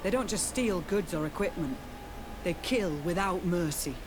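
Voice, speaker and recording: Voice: mid-range voice, lively intonation, normal speed, background noise, natural voice. An actor playing a stern military captain in a video game, reporting formally and grimly with respect. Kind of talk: scripted video game dialogue.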